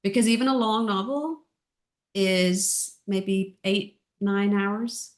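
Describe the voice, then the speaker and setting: A middle-aged woman from Ireland with a softened Irish accent, chatting as an interview guest in a gentle, reflective way. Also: mid-range voice, fairly even intonation, slow. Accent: Irish, softened by years in Texas. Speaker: guest, author and audiobook narrator. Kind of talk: casual interview chat.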